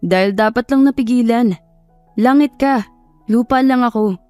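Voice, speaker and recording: A woman's voice: fairly high pitch, moderate pace, darkish tone, dry recording, close to an everyday voice. An earnest, humble young man from an audio love story, acted out by a woman narrator. He speaks in a heartfelt, pleading way, hurt by his own feelings. The recording is close and dry, with faint music underneath. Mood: sad, confessing.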